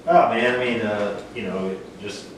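A man with a fairly low voice talks casually about life as a fishing guide, folksy and relaxed. He is heard from a distance in an echoey dining room. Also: unhurried, slight background noise. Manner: relaxed, folksy, acts out quoted exchanges. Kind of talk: casual roundtable discussion. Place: dining room around a table.

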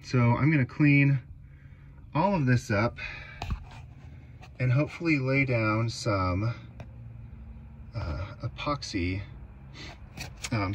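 A man's voice: fairly low pitch, slight background noise. A man casually talking through repairs in the small cabin of a boat, with wry, understated asides, recorded on an action camera. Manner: wry, understated, talks to himself while working. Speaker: boat owner vlogger. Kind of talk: casual vlog commentary while working. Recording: music under the voice. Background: background music.

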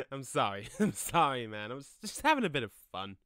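A man's voice: medium pitch, big pitch swings, moderate pace, a bit bright, clear, resonant tone, dry recording, studio quality. A man playing a playful, casual, laid-back male ghost who likes pranks, in an audio play. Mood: amused.

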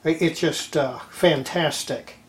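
A man's voice: on the high side, slow pace. An older man giving a casual, folksy workbench demonstration, half muttering to himself as he works. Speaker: hobbyist restorer presenting his repair video. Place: indoor home electronics workshop. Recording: roomy echo, clattering tool and handling noise.

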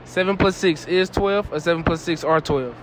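A man's voice: on the high side, normal speed, a little hiss or hum. Laughing young man quizzing strangers. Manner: laughs often, repeats answers back. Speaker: street interviewer. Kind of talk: casual street interview. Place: indoor shopping mall. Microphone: handheld phone.

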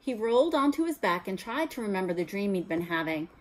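Casting: American female teacher, middle-aged, mid-pitched, animated intonation, reading and explaining a storybook to children. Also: fairly quick. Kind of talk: expressive children's read-aloud with teaching asides. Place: small room at home, indoors.

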